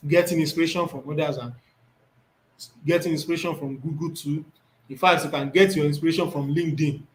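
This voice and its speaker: A man's voice: on the high side, steady tone, fairly quick. Man teaching a screen tutorial. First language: Yoruba. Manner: thinks aloud, repeats words while reading off the screen. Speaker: instructor.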